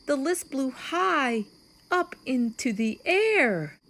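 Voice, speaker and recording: A woman reading a children's story aloud as narrator, in an expressive storytime style. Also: high-pitched, takes it slowly. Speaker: storytime reader.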